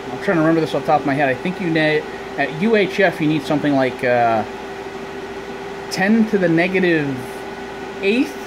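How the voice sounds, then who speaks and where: A man giving a casual hands-on demonstration of technical equipment as a hobbyist, explaining and thinking aloud as he goes. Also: on the high side. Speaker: amateur radio hobbyist giving an equipment tour. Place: small indoor equipment room with radio racks. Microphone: handheld camera or phone held by speaker. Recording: loud fan and ventilation equipment noise.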